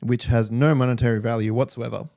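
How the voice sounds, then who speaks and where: An Australian man chatting casually as a podcast guest, telling anecdotes in a relaxed conversational way. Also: medium pitch, brisk, creaky.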